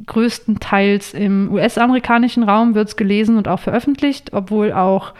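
A young woman with a smooth, clear voice of middle pitch talks as a podcast guest. She answers in a friendly way and explains things patiently, at length. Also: steady tone, normal speed, a little mellow. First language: not English. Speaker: podcast guest, online writer. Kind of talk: conversational interview answers.

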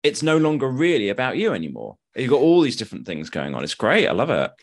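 A man's voice: medium pitch, huge pitch swings, quick pace. A man hosting a podcast interview, enthusiastic and summarizing his guest's points back to check them. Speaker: podcast host.

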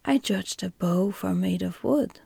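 A woman voicing a learned, flattering male insect in a whispered bedtime storybook reading. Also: medium pitch, a little slow, gravelly, breathy edge, no echo, full character voice. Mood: approving. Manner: whispered, soft.

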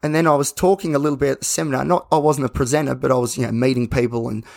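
An Australian man with a slightly croaky voice, hosting a solo podcast in a casual, candid, rambling way. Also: mid-range voice, steady tone, very fast, darkish tone. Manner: conversational, rambling, self-correcting. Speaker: podcast host.

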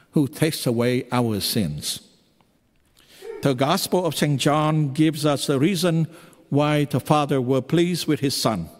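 A man with a Vietnamese accent, preaching a homily as a priest, pausing often with fillers. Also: fairly high pitch. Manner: halting, with frequent fillers. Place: church. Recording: long hall reverb in a church.

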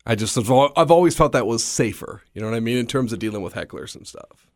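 A man talks casually in a radio interview, his voice a little scratchy from a long day. He is chatty and self-deprecating and laughs easily. His voice sits at a middle pitch and is recorded dry and close. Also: animated, quick pace, dry recording. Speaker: stand-up comedian and guest.

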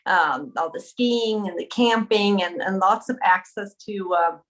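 A woman's voice: mid-range voice, unhurried, rough recording. Hesitant woman, conversational. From Sweden. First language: Swedish. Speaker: podcast guest, author. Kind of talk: conversational personal storytelling.

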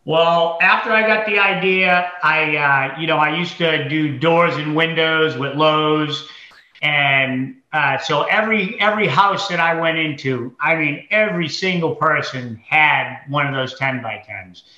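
Bright-voiced Massachusetts man in an echoey room. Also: a little high, a little slow. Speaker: entrepreneur guest. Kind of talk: casual interview storytelling. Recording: dull lo-fi, roomy echo.

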